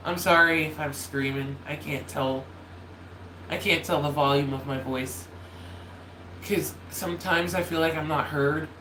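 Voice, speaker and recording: A nasal voice that cracks a little, drifting through casual livestream chat and often stopping to apologize or restart. The room sounds echoey, with a faint space heater humming behind it. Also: medium pitch. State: voice slightly cracking. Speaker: YouTuber hosting a holiday livestream. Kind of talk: casual rambling chat to viewers. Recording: roomy echo, faint heater hum.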